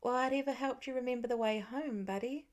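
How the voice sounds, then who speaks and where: A woman's voice: high voice, fairly quick, pitched above the performer's own voice, lightly acted. A woman reading the part of an easygoing, reasonable teenage boy in an audiobook. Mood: indulgent. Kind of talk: audiobook character voice in fan fiction.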